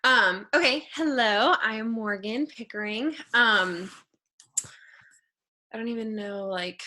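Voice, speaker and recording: A woman's voice: a little high, takes it slowly, pushed and bright. A woman in her early twenties from Texas, co-hosting an online team training and telling her own story informally, chattily, with plenty of "like". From Texas, United States. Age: early 20s. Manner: chatty, peppered with "like" and "y'all". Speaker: direct-sales team leader co-hosting a training.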